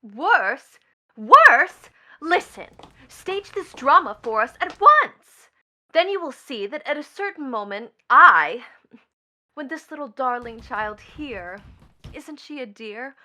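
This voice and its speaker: An actress playing a brazen, scornful young stepdaughter in a radio stage play, theatrical and mocking, with sudden swells and drops. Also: female voice, high-pitched, sing-song, slow, sharp tone, exaggerated voice. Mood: scornful, furious.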